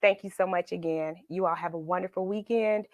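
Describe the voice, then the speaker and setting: A woman's voice: lowish voice, fairly even intonation, fairly quick, a little mellow, no room sound, clean studio sound. A woman hosting a children's read-along, chatting informally in a playful, affectionate, encouraging way and repeating phrases for emphasis.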